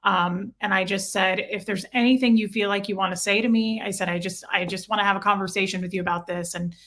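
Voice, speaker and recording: A woman's voice: medium pitch, fairly even intonation, fast talker, fairly bright tone. A woman speaking as an interview guest, telling personal stories casually and earnestly, often acting out both sides of conversations.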